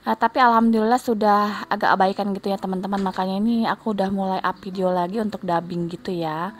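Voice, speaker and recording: A woman's voice: mid-range voice, steady tone, quick pace, slight background noise. A woman vlogger with a stuffy, nasal voice from a cold, chatting casually to her viewers as she narrates her housework. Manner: chatty, keeps addressing viewers, tags lines with soft fillers. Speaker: homemaker vlogger. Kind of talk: casual vlog voice-over. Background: faint background music.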